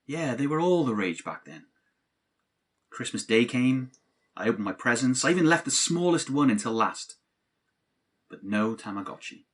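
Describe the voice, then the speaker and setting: A man's voice: fairly low pitch, fairly bright tone. An actor playing a cynical, exasperated young man in an audio drama, with a sardonic, disbelieving way of talking. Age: young adult. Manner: sardonic, incredulous. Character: cynical adult man, exasperated, let-down Christmas sceptic, best friend. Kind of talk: naturalistic audio drama dialogue.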